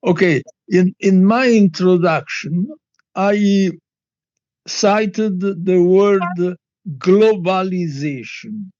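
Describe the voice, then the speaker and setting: A man putting an unscripted question at a symposium, in halting, word-by-word non-native English. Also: high voice, expressive, darkish tone, no echo. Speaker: symposium participant. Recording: dull, dry lo-fi microphone sound.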